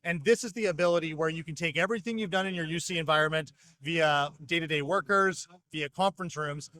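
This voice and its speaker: A man's voice: a little high, fairly bright tone, no echo. A man giving a fluent, structured product explanation as a company representative in an interview. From North America. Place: trade show exhibition hall.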